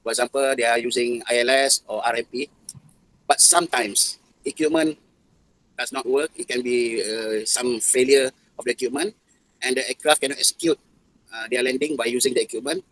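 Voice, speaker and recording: A man with a Malaysian accent giving explanatory interview answers in a halting manner with non-native phrasing. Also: medium pitch, steady tone, a bit bright, poor audio. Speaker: interviewed air traffic control expert.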